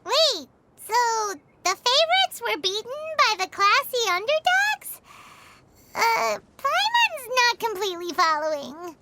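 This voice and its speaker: Nosy little fairy companion, very high and bouncy, game music behind. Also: female voice, a little slow, long pauses, full character voice. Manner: excitable, gossipy, refers to herself by name. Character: tiny chirpy female fairy companion, nosy. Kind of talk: video game voice acting.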